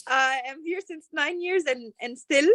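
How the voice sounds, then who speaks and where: A woman's voice: sits high, expressive, slow, bright, forceful tone, dry recording. A woman from Egypt, hosting an interview, asking long questions and explaining background. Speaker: host. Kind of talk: casual interview.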